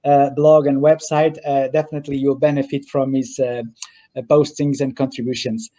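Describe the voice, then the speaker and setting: A man's voice: mid-range voice, little pitch movement, moderate pace, dark tone, highs cut off. A man hosting an interview, speaking with hesitant fillers and slightly non-native phrasing, over a video call. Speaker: host, event organizer. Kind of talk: interview host.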